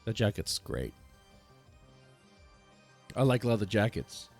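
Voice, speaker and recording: A man's voice: on the low side, sing-song, slow pace, faint music behind. A man hosting a reaction video, rambling casually with many fillers and restarts.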